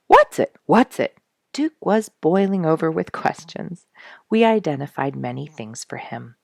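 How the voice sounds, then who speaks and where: A woman reading a story aloud as an expressive, deliberate narrator. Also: low-pitched, vocal fry, dry recording, clean studio sound.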